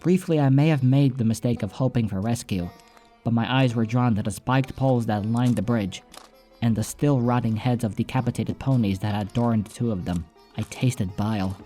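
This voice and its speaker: A man's voice: mid-range voice, normal speed, dark tone. A man reading a scripted first-person story as an audiobook narrator, with soundtrack music behind him.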